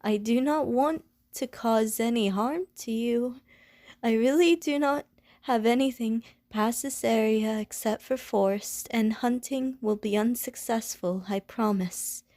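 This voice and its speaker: Woman playing a slow, soft-toned, gentle Medusa. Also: fairly high pitch, dry recording, studio quality. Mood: wary warning. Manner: formal, careful, stilted wording, pleading and apologetic. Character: gentle, anxious, lonely female Medusa wary of humans. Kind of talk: ASMR audio roleplay acting.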